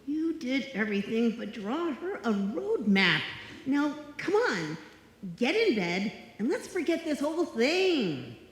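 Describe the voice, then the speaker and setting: A woman's voice: sits high, big pitch swings, takes it slowly, full character voice. A woman playing a sensible, wry, exasperated roommate in live old-time radio comedy style. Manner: wry, sarcastic. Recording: echoey hall, live stage performance.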